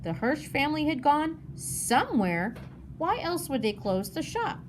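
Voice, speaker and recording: A woman's voice: high voice, sing-song, a little slow, slight background noise. A woman narrating a children's novel as a read-aloud.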